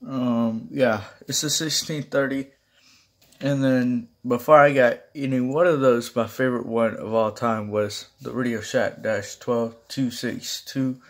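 A man chatting casually and haltingly as he films a home vlog. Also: mid-range voice, steady tone. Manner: halting, informal. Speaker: video creator showing a weather radio collection. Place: small room at home, indoors. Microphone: handheld phone or camera held close.

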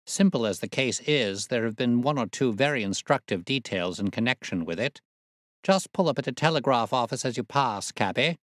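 A self-assured gentleman detective, acted by a male narrator with a mid-range voice. He is observant and brisk, speaking in precise, courteous, formal sentences, recorded close and dry for an audiobook. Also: mid-range voice, expressive, dry recording, voice pushed up, close to an everyday voice. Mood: brisk, cheerful.

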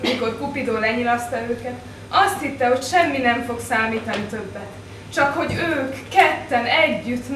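A woman's voice: a little high, a bit bright. A woman giving a spoken-word recital in a storytelling style at a live poetry event. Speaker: slam poetry performer. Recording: echoey live event, distant mic, noisy.

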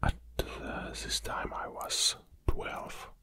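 A man in his forties from Kazakhstan with a Russian accent, hosting an ASMR video in a slow, soft close whisper with small hesitations, recorded on a studio condenser mic in a small home studio. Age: 40s. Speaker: ASMR channel host. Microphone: studio condenser mic on a stand, wearing headphones.

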